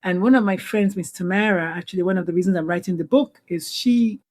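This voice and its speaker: A woman's voice: lowish voice, quick pace, a little mellow. A middle-aged Nigerian woman physician speaking as a podcast guest, telling stories earnestly and animatedly, often restarting her sentences. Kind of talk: conversational interview.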